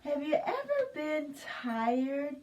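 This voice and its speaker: Woman, children's storytime reader, very slow pace, highly animated sing-song delivery, distant mic. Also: lo-fi sound. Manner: sing-song, exaggerated expression, addressing young listeners with questions. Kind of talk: picture book read-aloud for young children.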